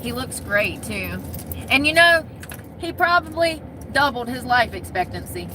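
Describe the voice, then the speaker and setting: A woman's voice: on the high side, sing-song, a little slow. A woman from Michigan talking casually to the camera in a chatty, candid, wisecracking way. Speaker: vlogger. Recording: noisy outdoor street sound.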